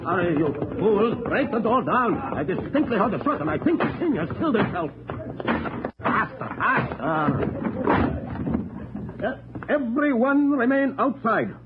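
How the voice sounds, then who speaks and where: A man's voice: high voice, very animated, measured pace, pauses often. An actor playing a cold, calculating male usurper in an old radio drama, speaking with precise menace. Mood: frantic. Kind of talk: 1940s radio acting. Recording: tinny old radio broadcast with hiss.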